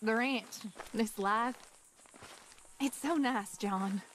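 High-voiced Western frontier wife. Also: female voice, slow, a little hiss or hum. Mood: wry, affectionate. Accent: American Western. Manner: blunt, teasing. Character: sharp-tongued, practical frontier wife. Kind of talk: video game cutscene acting.

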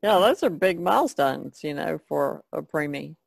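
A woman's voice: low-pitched, lively intonation, moderate pace, gravelly. A woman chatting casually as a guest on a podcast. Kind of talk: casual chat.

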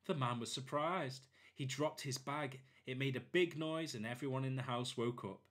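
A man narrating a children's storybook expressively, in short, deliberate phrases. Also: mid-range voice, fairly bright tone. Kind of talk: expressive children's read-aloud.

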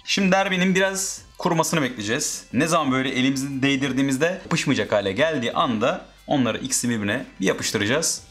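Male DIY host, medium pitch, informal how-to narration over music. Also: expressive, fast, hardly any pauses, fairly bright tone. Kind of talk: casual step-by-step how-to narration.

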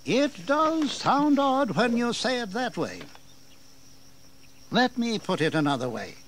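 A high, widely swooping voice carries an indignant colonial governor in a historical audio drama. He is oratorical and authoritative, with bitter sarcasm, over faint background noise. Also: big pitch swings, a bit bright, heavily acted. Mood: sarcastic, exasperated. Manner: oratorical, emphatic, deliberate.

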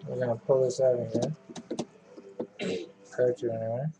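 A man hosting a casual home cooking show with his kids, filmed in a kitchen on the camera microphone, chatty and giving quick instructions. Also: mid-range voice. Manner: relaxed, chatty, gives quick instructions to children. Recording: noisy home video.

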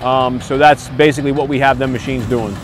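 A man's voice: mid-range voice, fairly even intonation, a little fast. An American man in his forties, a construction company owner, speaking plainly and casually in an interview with frequent "you know" asides. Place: outdoors on a construction site. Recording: music bed under the voice. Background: background music.

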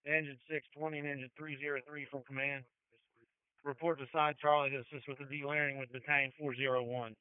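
Male incident commander, clear voice on a phone-like emergency radio, terse and procedural. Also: mid-range voice, level tone, quick pace, no room sound. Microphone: two-way radio. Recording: phone-like fire radio channel.

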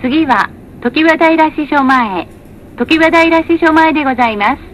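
A woman's voice: sits high, a little slow, noisy background. A woman reading scripted bus announcements in a polite, formal, carefully articulated manner. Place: recorded announcement played inside a bus.

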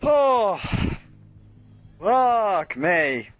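A man's voice: high voice, big pitch swings, drawn-out words, fairly bright tone, no room sound. A man hosting a let's play, rambling casually and swearing as he talks through the game and to his chat. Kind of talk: casual gameplay commentary.

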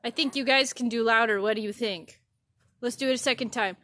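A woman leading children through worship action songs, cheerfully urging them to sing louder. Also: a little high, fairly quick, a little hiss or hum. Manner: encouraging, cheerful song leading.

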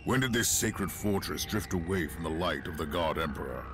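A man's voice: low-pitched, animated, normal speed, background noise. A man acting a haughty, zealous imperial inquisitor in a video game, speaking in a clipped, imperious way. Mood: stern.

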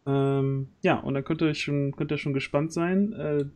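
A man's voice: on the high side, steady tone, normal speed, darkish tone. A man in his thirties hosting a casual livestream chat in a jovial way, speaking close to a microphone. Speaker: stream host. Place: small dark room at home. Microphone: large microphone close to the mouth.

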